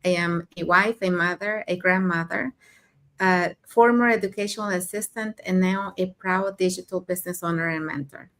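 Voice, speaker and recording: A woman's voice: fairly low pitch, normal speed, a bit bright. A cheerful woman from Mexico introducing herself on an online talk. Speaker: guest speaker.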